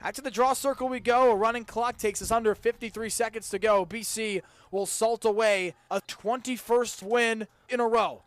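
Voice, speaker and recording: A young man calling a college game as a radio play-by-play announcer, with brisk, precise calls that lift sharply on scoring plays. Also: high voice, steady tone, dry recording.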